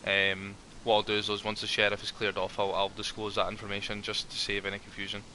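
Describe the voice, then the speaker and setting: A rambling, friendly man with a lowish voice and a very bright, forward tone. He fills his talk with ums and restarts as he chats in character. A faint hum of ambience sits behind him. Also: monotone, quick pace. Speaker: roleplay player as a ranger. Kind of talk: in-character roleplay chat.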